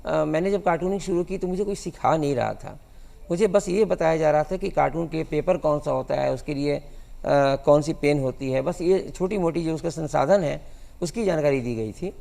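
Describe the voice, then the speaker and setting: Male interview guest, higher pitched with gravelly fry, explains haltingly. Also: mellow. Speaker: guest cartoonist. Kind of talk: studio interview.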